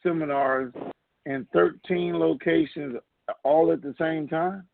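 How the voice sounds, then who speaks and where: A man's voice: fairly high pitch, steady tone, takes it slowly, vocal fry, a little hiss or hum. A man hosting an informal question-and-answer conference call over the telephone. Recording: muffled narrowband phone line.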